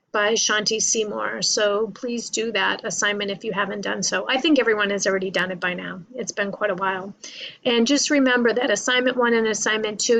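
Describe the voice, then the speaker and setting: A woman's voice: medium pitch, creaky, a bit bright, dull audio. A woman lecturing informally to college students, explaining in a conversational way, repeating points and often checking in with "right?" and "you know".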